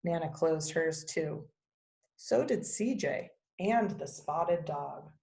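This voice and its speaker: A woman reading a children's picture book aloud as a teacher, with expressive storybook narration. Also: deep voice, takes it slowly, creaky, a little hiss or hum. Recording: echoey, roomy sound.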